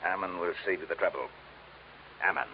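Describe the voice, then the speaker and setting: An actor playing a pompous, portly crime boss with florid, courtly menace in an old radio detective drama. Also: male voice, deep voice, a little fast, natural voice. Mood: suave menace. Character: pompous, portly, scheming older crime boss Garrett Drummond. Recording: muffled, hissy vintage radio recording.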